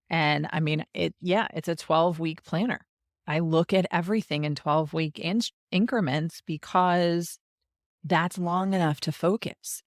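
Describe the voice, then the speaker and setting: A woman's voice: low voice, dry recording, clean studio sound. A woman hosting a podcast, chatting upbeat and conversational with her guest. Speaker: podcast host. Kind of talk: casual interview chat.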